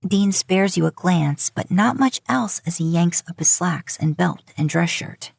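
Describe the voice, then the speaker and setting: A woman's voice: lowish voice, animated, measured pace, gravelly, husky, dry recording. A woman narrating scripted romantic fiction as an audiobook-style storyteller, recorded close to the mic.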